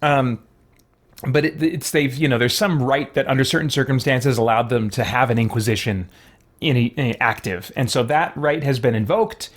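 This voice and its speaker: A man's voice: mid-range voice, moderate pace. A man giving an informal, rambling explanation to a live stream chat, digressing as he goes. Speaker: game livestreamer.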